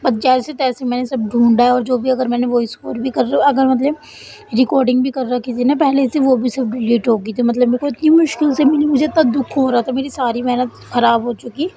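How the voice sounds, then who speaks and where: A young woman with a sore, hoarse throat, chatting to her viewers in a voice-over for her travel vlog. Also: a little high, warm tone, slight background noise. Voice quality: husky, cracked voice. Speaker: vlogger. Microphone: voice-over recorded separately.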